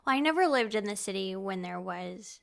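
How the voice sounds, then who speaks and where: A woman's voice: mid-range voice, lively intonation, moderate pace, gravelly, fairly bright tone. An American woman co-hosting a book podcast, talking informally and full of exclamations. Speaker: podcast co-host. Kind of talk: casual book-club podcast chat.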